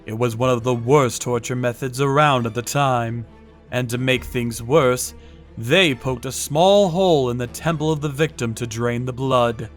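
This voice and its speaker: A man narrating a manga dub as a first-person story, with a measured, menacing, theatrical delivery. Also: mid-range voice, soft background music.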